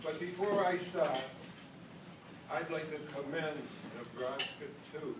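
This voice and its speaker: A man's voice: on the high side, unhurried. Older man with a Maine accent. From Boston. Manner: folksy, self-deprecating, jokes with the crowd. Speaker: speaker at a club convention. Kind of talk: after-dinner speech, storytelling. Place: large hall with an audience. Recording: echoey hall, distant mic, loud background noise.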